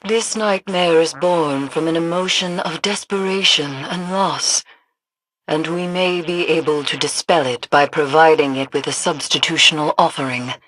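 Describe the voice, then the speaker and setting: Low-voiced, brooding mystic, noisy backdrop. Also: female voice, fairly bright tone. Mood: grave, instructive. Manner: grave, deliberate, formal, ominous. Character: solemn, brooding woman mystic guiding against nightmares. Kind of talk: scripted video game character dialogue.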